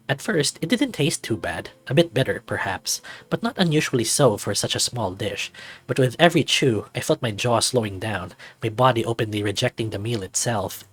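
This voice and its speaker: A man giving measured, formal first-person narration of a scripted story. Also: fairly low pitch, husky.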